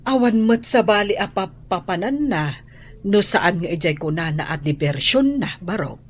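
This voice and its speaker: A woman's voice: medium pitch, expressive, moderate pace, lightly acted. Woman playing an anxious, deferential household maid. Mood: uneasy, evasive. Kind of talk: Ilocano radio drama acting.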